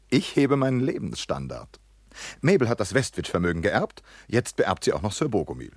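A witty, cynical young heir who plans never to work again. His voice is deep and husky, swinging widely with ironic glee. It sounds dry and close in a radio play. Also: no echo. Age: 20s. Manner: ironic, laughing, smug. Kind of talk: radio drama acting.

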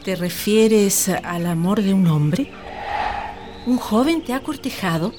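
A woman's voice: mid-range voice, animated, slow, background noise. A woman playing a protective, pleading mother and landowner's wife in a radio drama. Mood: gently probing.

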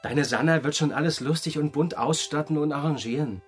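A man's voice: on the high side, brisk, faint music behind, close to an everyday voice. An actor playing an eager, assertive man in a remembered scene of a radio drama. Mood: declaring.

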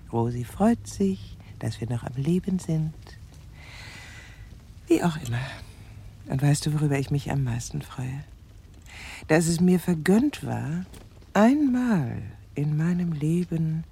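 A bitter, lovesick older woman in a radio play, gloating and tender by turns. Her voice is dark and creaky, with wide swings of pitch, and it sinks into intimate, breathy murmurs. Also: slight background noise, heavily acted. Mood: tender, wistful. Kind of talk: radio drama monologue.

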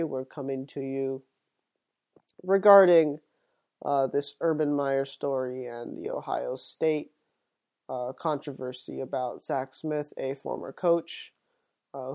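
A man's voice: a little high, warm tone. A man hosting his own sports podcast while sick and sniffly, talking casually and rambling with plenty of fillers and restarts. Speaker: podcast host.

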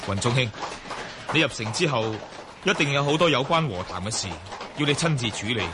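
A man's voice: medium pitch, expressive, fairly quick, background noise, lightly acted. An actor playing a courteous, heroic young nobleman in a Cantonese radio drama, speaking formally and earnestly.

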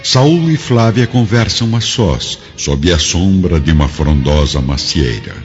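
Deep guy narrating a radio drama, very animated intonation, muffled lo-fi sound, music behind. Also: unhurried. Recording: dull lo-fi audio with music behind.

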